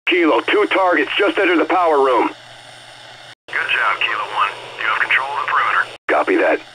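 A man playing a brisk military air-recon officer in a game, making clipped, authoritative radio calls. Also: medium pitch, noisy background, close to an everyday voice.

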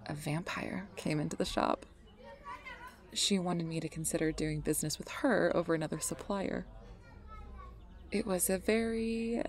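Creaky, doting fey sweetheart, acted by a woman. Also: fairly low pitch, a little mellow. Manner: intimate, doting, often breathy whispering. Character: obsessive, sweet-talking fey woman posing as a devoted lover. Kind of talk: ASMR roleplay, close personal attention.

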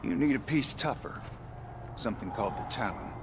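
A man's voice: medium pitch, lively intonation, a little fast, background noise, lightly acted. An actor playing a crafty male wasteland fixer in a game, talking in a folksy, dealmaking drawl. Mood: sly.

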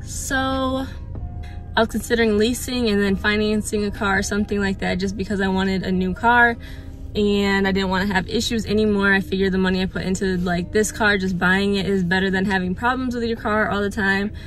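A woman's voice: mid-range voice, steady tone, a little fast, soft background music. A woman in her twenties chatting to camera from inside a parked car, earnest and full of fillers and repeats. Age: 20s. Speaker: vlogger. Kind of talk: casual chat to camera. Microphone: phone held at arm's length or mounted on dashboard.

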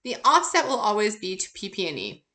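A woman's voice: medium pitch, wildly swooping pitch, fairly quick, sharp tone. A woman instructor giving an informal recorded lecture, working through examples in a conversational, explanatory way and answering her own questions. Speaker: accounting instructor.

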